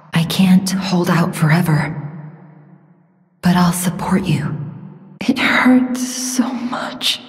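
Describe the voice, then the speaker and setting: An actress playing a gentle, sorrowful young mystical woman in a video game, speaking softly and breathily in a solemn, earnest, measured way. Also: lowish voice. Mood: solemn, grave, then pained, pleading. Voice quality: soft, breathy, airy. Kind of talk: dramatic video game voice acting. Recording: long reverb, music behind the voice.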